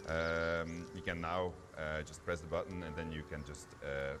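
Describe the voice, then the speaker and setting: A man's voice: extremely low pitch, little pitch movement, moderate pace, noisy background. A man giving casual live commentary on a game demo, informally thinking aloud as he plays. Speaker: game developer presenting a gameplay demo. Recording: loud game music behind the voice. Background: game music and sound effects.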